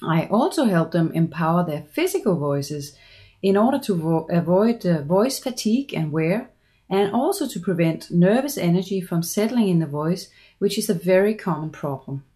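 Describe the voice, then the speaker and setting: A middle-aged woman from Denmark with a Danish accent, a voice coach giving an earnest, measured promotional pitch for her seminar. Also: animated, dark tone. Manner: measured, earnest, persuasive delivery.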